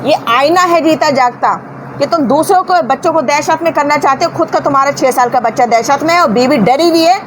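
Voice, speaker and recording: High-pitched indignant woman over clear background noise. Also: a little fast, poor audio. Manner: indignant, scolding, emphatic with rhetorical questions. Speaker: news commentator. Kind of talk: informal opinionated news commentary.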